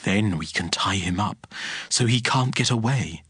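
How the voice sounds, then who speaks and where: A plotting foreign ruler, voiced by a man in a scripture drama, speaking in a very deep, husky voice. The sound is dry and close. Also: expressive, measured pace, fairly bright tone, no echo, heavily acted. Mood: conspiratorial.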